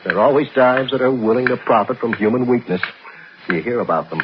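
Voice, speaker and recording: A man's voice: medium pitch, quick pace, music in the background, natural voice. An actor playing an anxious, overprotective middle-aged father in a 1960s radio suspense drama, with a fretful, brooding, melodramatic delivery. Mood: worried, brooding. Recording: noisy, dull 1960s radio recording.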